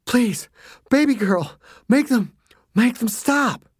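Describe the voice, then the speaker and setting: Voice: mid-range voice, animated, slow pace, husky, no room sound, studio quality, voice pushed up. A narrator voicing a terrified father in agony, pleading and gasping for breath.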